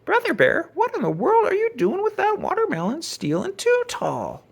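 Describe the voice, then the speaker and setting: A folksy old farmer bear man for a kids book, really high voice, swoopy. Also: huge pitch swings, normal speed, gravelly, exaggerated voice. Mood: shocked. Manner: folksy, scolding and explaining.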